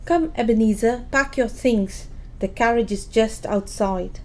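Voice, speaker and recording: A sweet young sister played by a woman in an audio play. She speaks in a fairly high voice, earnest and gushing with affection. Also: measured pace. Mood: eager, affectionate.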